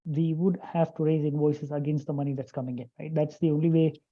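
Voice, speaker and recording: A guest on a business webinar over a video call, explaining compliance rules in a measured, careful way. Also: mid-range voice, flat delivery. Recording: dull lo-fi call audio.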